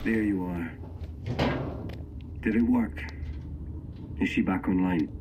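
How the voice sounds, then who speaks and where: Low-voiced, wonderstruck space officer. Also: male voice, takes it slowly, background noise. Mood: eager relief. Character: eager, wonderstruck male space mission officer. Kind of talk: scripted audio drama acting.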